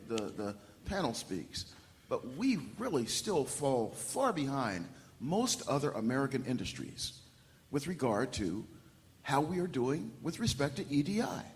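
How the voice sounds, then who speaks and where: A man speaking loudly and emphatically from the audience during a panel discussion. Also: mid-range voice. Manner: loud, emphatic. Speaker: audience member. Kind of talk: public comment at a panel. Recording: long hall reverb.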